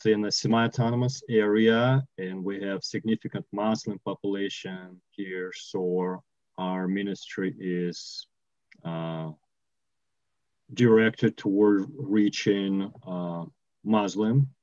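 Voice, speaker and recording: A man in his thirties with a Russian accent, a missionary answering interview questions on a video call, slowly and carefully with many pauses. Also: on the low side, darkish tone, dry recording. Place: home room with bookshelves, indoors. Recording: dull lo-fi call audio.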